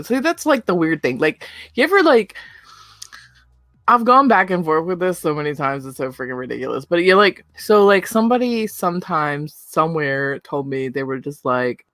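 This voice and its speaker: A woman's voice: low-pitched, fairly bright tone, dry recording. A young woman co-hosting a podcast, chatting casually, rambling with lots of fillers and giggles. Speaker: podcast co-host. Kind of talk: casual chat.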